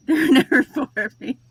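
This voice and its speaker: A woman's voice: high-pitched, vocal fry, dry recording. A woman in her forties hosting a casual livestream chat, talkative and self-deprecating, laughing often as she tells stories. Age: 40s. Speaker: host of a reseller livestream.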